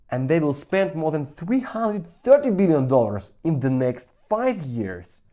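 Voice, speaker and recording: A woman with a low voice and a Ukrainian accent presents a scripted business lesson. Her delivery swings widely in pitch as she stresses key points, while the tone stays dark and soft-edged. Faint music plays underneath. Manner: emphatic, persuasive, addressing the viewer directly. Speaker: startup founder, business course presenter. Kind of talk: scripted master-class sales presentation.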